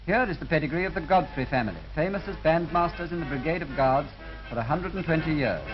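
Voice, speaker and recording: A man with a British accent narrating a scripted 1930s film in a formal, measured way. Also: on the high side, over music. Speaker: documentary narrator. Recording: dull lo-fi 1930s film soundtrack.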